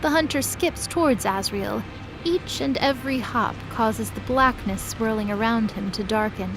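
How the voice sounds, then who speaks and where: A woman's voice: on the high side, normal speed, over music, poor audio. A woman reading scripted, dramatic story narration as the narrator of an audio drama. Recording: clear background noise under voice.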